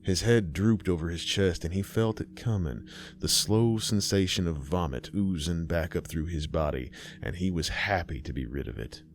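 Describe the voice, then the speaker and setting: A man narrating a horror story in a slow, grim, deliberate way. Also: low-pitched, slight background noise.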